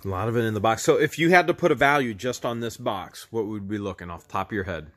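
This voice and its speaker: A man in his thirties hosting a casual unboxing, talking in an excited, playful, teasing way into a handheld phone inside a large storage warehouse. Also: fairly low pitch, fast. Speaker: host.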